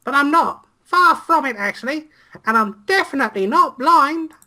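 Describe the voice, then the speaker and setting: A man's voice: very high voice, expressive, moderate pace, sharp tone, pitched above the performer's own voice, heavily acted. A man voicing a haughty, suspicious young stepsister from a visual novel, in an affected, indignant manner.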